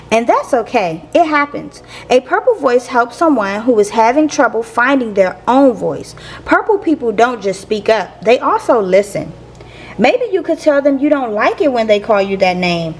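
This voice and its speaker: A woman reading a picture book aloud to young listeners in a playful, expressive teacher's manner. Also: on the high side, moderate pace, a little hiss or hum. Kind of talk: expressive children's read-aloud.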